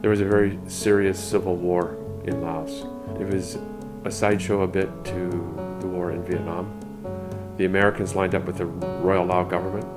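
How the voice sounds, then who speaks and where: An older man, a sociology professor, telling a story casually in a relaxed, chuckling manner. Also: sits low, moderate pace, loud music behind the voice.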